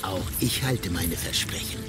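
A man's voice: sits low, lively intonation, a little slow, over loud music. An actor playing a wealthy, smug, regal prince in a radio drama, savouring his words theatrically. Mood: gracious, commanding.

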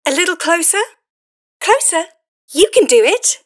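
A tiny electronic fairy helper speaks in a high, wildly animated voice. She is eager and bubbly, gushing encouragement like a saleswoman in an audio play. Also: moderate pace. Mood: bubbly, encouraging. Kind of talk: scripted audio play acting.

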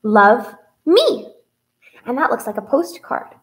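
A young woman with a fairly high voice hosts a read-aloud for children. She talks directly to kids in an expressive, sing-song manner, like a librarian at story time. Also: takes it slowly. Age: young adult. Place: small indoor room with whiteboard.

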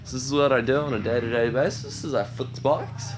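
A man's voice: medium pitch, brisk, background noise. A young man in his late teens with a New Zealand accent, talking candidly and offhandedly into a phone held at arm's length as he films his own video diary outdoors on a farm. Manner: candid and offhand, with frequent fillers. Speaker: teen documenting his own life. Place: outdoors on a rural farm and in a bike shed. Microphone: phone camera held at arm's length.

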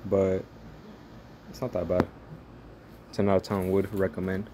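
Deep-voiced young man, casual game commentary. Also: level tone, measured pace, background noise. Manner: rambling, profane, jokey play-by-play. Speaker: gaming channel host.

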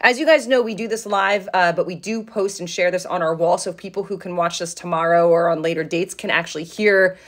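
A woman's voice: lowish voice, brisk, hardly any pauses. Casual, emphatic woman hosting a live chat. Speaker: live show host and fitness coach. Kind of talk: casual live discussion, reading viewer comments. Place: small office with drop ceiling.

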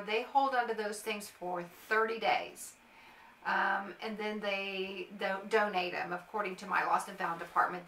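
A woman's voice: medium pitch, bright, forceful tone. A middle-aged American woman giving casual advice to camera in an informal, emphatic way, repeating words for stress. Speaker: airport agent and vlogger. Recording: roomy, echoey, distant mic.

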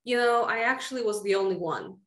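A woman's voice: a little high, fairly quick. Woman from Russia, candid and chatty, video call, echoey room. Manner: candid, chatty storytelling with light laughs. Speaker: guest author. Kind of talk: casual interview over video call. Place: small room indoors.

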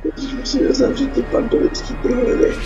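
A woman's voice: sits high, flat delivery. A Czech woman with a cold giving casual, sweary let's play commentary, muttering to herself as she plays. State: sick with a cold. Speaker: gaming YouTuber. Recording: dull lo-fi home recording. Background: game music.

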